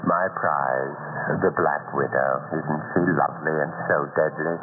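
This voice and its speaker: An actor playing a sinister, gleeful spider keeper in a theatrical horror monologue, savoring each word with menace. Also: male voice, rumbling low, fairly even intonation, unhurried, a little hiss or hum. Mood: gloating, sinister. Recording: tinny shortwave radio, music behind.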